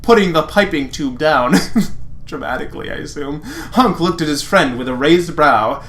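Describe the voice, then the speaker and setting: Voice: medium pitch, lively intonation, moderate pace, fairly bright tone. A sarcastic, exasperated reader narrating fan fiction dramatically and breaking off for mocking asides.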